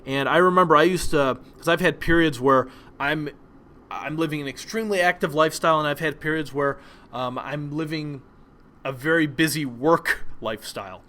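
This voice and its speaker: A young man whose first language is Polish, giving a relaxed presentation lesson with frequent ums and restarts. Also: on the high side, moderate pace. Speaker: teacher.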